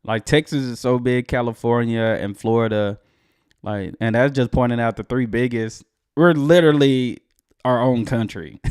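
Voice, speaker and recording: A man hosting a reaction video, talking in a relaxed, chatty way and chuckling between remarks. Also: mid-range voice, smooth, no echo, clean studio sound. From United States. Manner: laid-back, chuckles often. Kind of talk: casual commentary.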